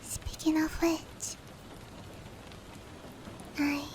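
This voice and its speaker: A woman plays a gentle female demon who comforts and protects in an ASMR roleplay. The voice is high and whispered, with very little rise and fall. The delivery is slow, breathy and soothing, with rain falling behind it. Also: little pitch movement, noisy background. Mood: tender, reassuring.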